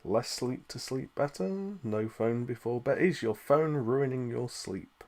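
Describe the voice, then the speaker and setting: A man's voice: mid-range voice, expressive, unhurried, darkish tone. A man co-hosting a livestream Q&A, reading viewer questions aloud in a casual way.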